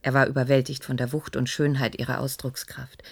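An actress playing a grave woman in a German radio play, explaining in measured, deliberate dramatic phrases. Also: extremely low pitch, level tone, gravelly, breathy edge, full character voice. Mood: grave, wistful. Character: grave woman explaining a scientist's secret work.

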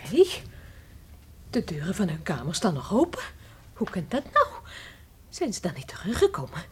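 An actress playing an excitable, scatterbrained housekeeper in a comic audio drama, flustered, exclaiming and stammering. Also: female voice, on the low side, normal speed, a little hiss or hum. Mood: puzzled, drowsy. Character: excitable, scatterbrained housekeeper, quick to laugh and defend herself.

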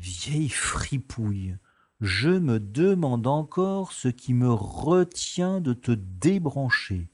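A man playing a weary, bantering spaceship commander in a science fiction audio drama, with a measured manner. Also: medium pitch, sing-song, a little mellow, full character voice. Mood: exasperated. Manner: measured, exasperated with his computer.

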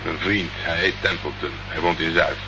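Deep-voiced sardonic private eye. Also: male voice, moderate pace. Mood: guarded. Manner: sarcastic, deadpan. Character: dry, sardonic male private investigator, unruffled under questioning. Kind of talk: Dutch radio play acting. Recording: muffled, noisy old radio recording.

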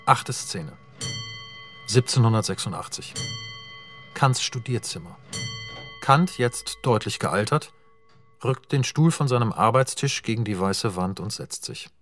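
A man narrating stage directions in a radio drama, reading in a matter-of-fact, even way. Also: lowish voice, unhurried, pauses often, faint music behind. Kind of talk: scripted narration.

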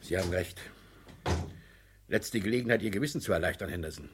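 A stern boss, widely swooping intonation. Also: male voice, sits low, lively intonation, a little fast. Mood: stern, pressing. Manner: authoritative, formal address, biting. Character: stern, commanding male mine boss, sardonic toward his prisoner. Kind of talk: German radio crime drama acting. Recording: old radio play with faint noise.

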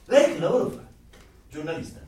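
High, lively, inquisitive shop seller. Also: male voice, brisk, recorded from a distance. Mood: insistent, curious. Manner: insistent, questioning. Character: brisk, inquisitive shop seller with an old grandfather. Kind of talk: radio drama acting. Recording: echoey room, off mic.

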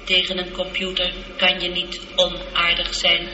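A woman playing a polite, logical ship's computer in a science fiction radio drama, speaking in a measured, formal, precise way through a reverberant effect. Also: on the low side, monotone, sharp tone. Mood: gently matter-of-fact. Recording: echoey, dull, lightly noisy radio recording.

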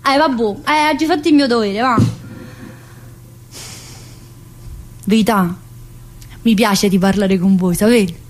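A woman's voice: medium pitch, normal speed, lightly acted. An actress playing a restless, needy, brash woman prisoner in a theatrical monologue, speaking colloquial, dialect-laced Italian with a southern accent. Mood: confiding. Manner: colloquial, dialect-laced, addressing an unseen teacher.

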